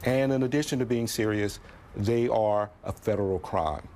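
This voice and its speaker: Law enforcement guy being interviewed, slow and formal, some background noise. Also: lowish voice, mellow. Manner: deliberate, official-sounding. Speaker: FBI official. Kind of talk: interview soundbite.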